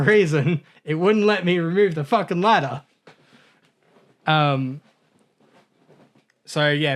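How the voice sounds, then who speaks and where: A man co-hosting a gaming podcast, rambling through a story in a casual way, correcting himself and laughing at his own mishaps. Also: fairly high pitch. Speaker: podcast co-host.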